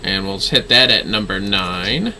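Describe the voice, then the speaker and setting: A man's voice: deep voice, animated, unhurried, bright, forceful tone, slight background noise. A man livestreaming a game with casual, playful commentary, often talking to himself and muttering skill names.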